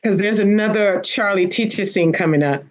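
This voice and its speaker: A woman's voice: medium pitch, normal speed. Woman chatting casually on a panel. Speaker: host of a podcast discussion panel.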